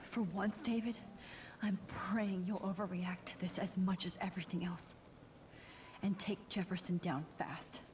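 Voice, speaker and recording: A woman's voice: on the low side, fairly even intonation, unhurried, close to an everyday voice. A woman playing an introspective, determined teenage student in a video game, talking as if thinking to herself. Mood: quietly intense. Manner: hushed inner monologue.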